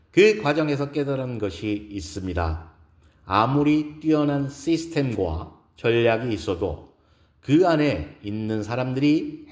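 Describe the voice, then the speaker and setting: A poised woman executive acted by a man delivering a formal, deliberate keynote in an echoing audio-drama hall. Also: medium pitch, a little mellow, pitched above the performer's own voice, natural voice. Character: poised, earnest woman executive giving an inspirational lecture. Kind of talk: scripted audio drama speech.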